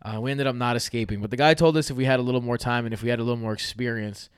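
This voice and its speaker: A man's voice: medium pitch, rapid-fire, clear, resonant tone, no room sound, clean studio sound. A man, hosting a fantasy football podcast in loose, joking chat with his brother. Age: 30s. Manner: animated, laughs often, loose and joking.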